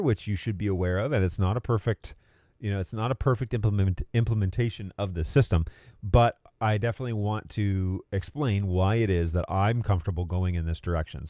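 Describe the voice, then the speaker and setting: A man with a lowish, soft-edged mellow voice presents a tech explainer in a casual, off-the-cuff way. He thinks aloud, rewording his points and checking in with the listener. The recording is close and dry. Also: no echo. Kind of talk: unscripted explainer, casual and conversational.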